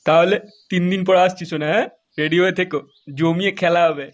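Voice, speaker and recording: A man's voice: high voice, fairly even intonation, quick pace, dull audio, natural voice. A man voicing a crude, quarrelsome village man in an adult comedy cartoon, brash, foul-mouthed and exaggerated. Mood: eager.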